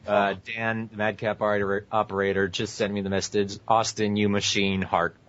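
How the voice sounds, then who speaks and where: Young male co-host, lowish voice, crude casual joking, dull lo-fi voice-chat sound. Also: flat delivery, normal speed, a bit bright. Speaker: podcast co-host. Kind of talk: casual group banter.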